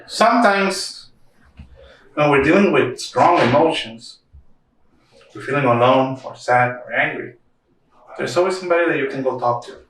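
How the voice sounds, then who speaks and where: A man's voice: mid-range voice, lively intonation, moderate pace, long pauses, a bit bright, a little hiss or hum. A man speaking to a puppet show audience as a guest therapist. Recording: echoey room, slightly distant mic.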